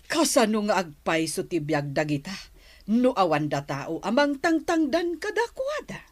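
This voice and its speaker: A woman's voice: mid-range voice, very animated, a little slow. Patient, aggrieved village mother, Ilocano accent acted by a woman. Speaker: actor in audio drama. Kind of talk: Ilocano radio-style drama acting.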